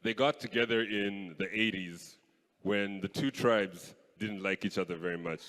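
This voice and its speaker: A young man from Kenya doing live stand-up comedy on a stage mic, playful and animated. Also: on the low side, normal speed. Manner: animated, playful, switches voices. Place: stage in a large hall. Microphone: handheld stage mic. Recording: live hall with long reverb.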